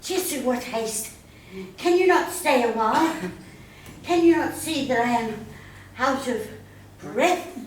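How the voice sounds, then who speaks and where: Slow, echoey old nurse acted by a woman, high-voiced. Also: animated. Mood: weary, complaining. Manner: dramatic groaning, drawn-out delivery. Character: teasing, complaining old nurse, servant and confidante. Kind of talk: Shakespearean stage acting. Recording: echoey hall, distant mic, faint noise.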